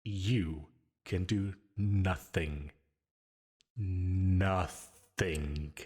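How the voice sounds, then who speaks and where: Man playing a slow, taunting, possessive demon. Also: sits low, fairly even intonation, husky, heavily acted. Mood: gloating, cruel. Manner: slow, drawn-out taunting with dark chuckles. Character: possessive male sleep paralysis demon, gleefully menacing, taunting. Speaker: voice actor in audio roleplay. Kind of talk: ASMR yandere roleplay, dramatic monologue.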